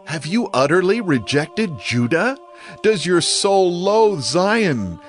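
A man's voice: high voice, very animated, slow, full character voice. A man playing a distraught petitioner questioning God in a dramatized scripture reading, plaintive and emotive. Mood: anguished questioning. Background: music bed.

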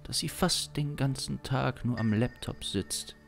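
A man in his thirties narrating an improvised suspense story in a deliberate, dramatic way, close to a studio microphone. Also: mid-range voice, vocal fry, soft background music. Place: small indoor streaming studio. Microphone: close studio microphone on boom arm.

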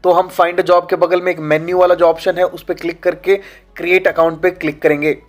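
Young male tutorial host, raised pitch, friendly explainer, faint music behind. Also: little pitch movement, brisk. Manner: friendly, repeatedly addresses viewers as friends. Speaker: YouTube channel host. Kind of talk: informal tutorial walkthrough. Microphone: lapel mic. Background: faint background music.

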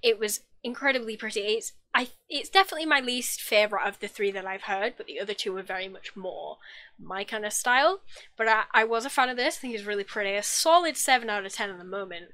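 Bright, chatty young woman. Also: mid-range voice, fairly quick. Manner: chatty, gushing, self-correcting restarts. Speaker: YouTube reaction host. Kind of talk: casual reaction commentary. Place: small home room indoors. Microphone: desk microphone, wearing headphones.

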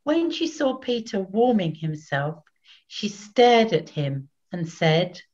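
Older woman narrator, unhurried solemn scripture reading, dull home webcam sound. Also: medium pitch, big pitch swings, darkish tone. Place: small plain room at home.

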